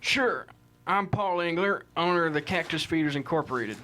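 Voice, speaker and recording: Man playing blunt, indignant cattle rancher, higher-voiced. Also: normal speed, bright, forceful tone, a little hiss or hum. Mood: earnest, aggrieved. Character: indignant, plain-spoken male cattle rancher on witness stand. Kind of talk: scripted table read of courtroom drama.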